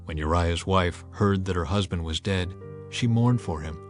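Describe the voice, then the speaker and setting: A man giving a measured, solemn, dramatized narration of scripture as an audiobook reader. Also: low voice. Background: music.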